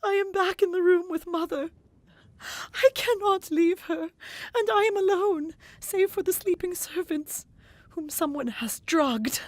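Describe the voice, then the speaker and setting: Frightened young gentlewoman, high voice, very measured pace, grief-stricken and alone, gothic audio drama. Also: no echo. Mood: desperate, fearful. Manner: breathy whispers rising to tearful cries.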